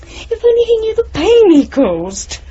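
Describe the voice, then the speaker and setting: A fretful young British woman speaks frankly in a mellow voice with very animated pitch. She is a sharp-tongued daughter in a radio play, recorded a little muffled. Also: a little slow, full character voice. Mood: bitter, frank. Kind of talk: naturalistic radio drama acting. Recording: muffled 1970s radio drama, faint noise.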